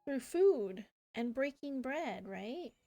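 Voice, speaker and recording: Giggly high-voiced woman streamer. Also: expressive, slow pace, dark tone. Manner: giggly, chatty, greets viewers. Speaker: game streamer. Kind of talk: casual live-stream chat. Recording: game music playing behind.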